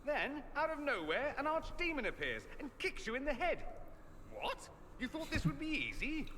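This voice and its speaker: An actor voicing a witty, self-assured fantasy mage in a video game, with a theatrical, sardonic manner. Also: male voice, very high-pitched, huge pitch swings, normal speed, sharp tone, long reverb tail, a little hiss or hum, exaggerated voice. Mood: wry, playful. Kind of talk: video game character acting.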